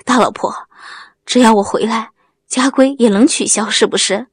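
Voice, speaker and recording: Woman voicing a simple, husky young husband. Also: normal speed, dry recording. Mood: teasing. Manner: low, gentle, feigned simplicity. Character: simple, honest-seeming young husband, slyly playing dumb.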